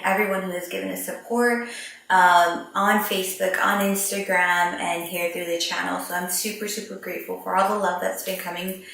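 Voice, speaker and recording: A woman's voice: medium pitch, a bit bright, a little hiss or hum. Bubbly woman host, very echoey and distant. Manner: bubbly and gushing, repeats words for emphasis. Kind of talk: casual interview hosting.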